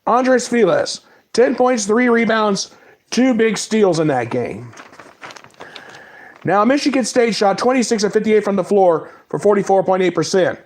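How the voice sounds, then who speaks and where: A man with a cold giving a casual spoken recap of basketball results, rattling off stats in quick lists. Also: high-pitched, expressive, slight background noise. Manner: reads stats in quick lists, apologizes for mispronouncing names. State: has a cold. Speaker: sports talk host. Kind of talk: casual sports recap monologue.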